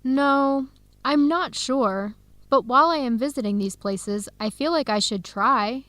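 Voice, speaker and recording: A woman playing a determined young American woman in an English-learning radio drama, speaking in clear, simple scripted sentences with an American accent. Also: sits high, a little slow, fairly bright tone, no echo. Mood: resolute. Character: Helen, determined young American woman searching for birth family.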